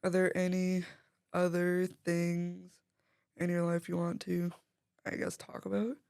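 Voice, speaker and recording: A woman's voice: on the low side, completely flat delivery, a little slow, gravelly, a little mellow, smooth. Chatty, giggly woman podcast host. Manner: chatty and giggly, laughs often. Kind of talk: casual conversation.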